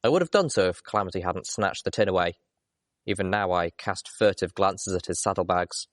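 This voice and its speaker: Casting: male audiobook narrator, lowish pitch, expressive first-person storytelling, very dry close recording. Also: a little fast, no room sound. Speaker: audiobook reader.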